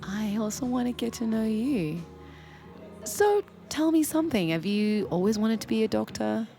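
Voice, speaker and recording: A woman's voice: on the high side, big pitch swings, moderate pace, dark tone, soft background music. A woman in her twenties from Zimbabwe playing a candid, smitten young woman in an audio drama, confiding and playful. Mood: chatty, open. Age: 20s. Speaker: lead actor and first-person narrator. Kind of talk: audio drama narration and dialogue.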